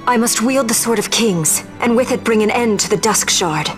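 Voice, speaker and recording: An actress playing a proud young princess in a video game, speaking gravely and formally. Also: female voice, on the high side, moderate pace, faint music behind, natural voice. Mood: solemn, determined. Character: resolute, solemn young princess, proud and burdened. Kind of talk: video game cutscene acting.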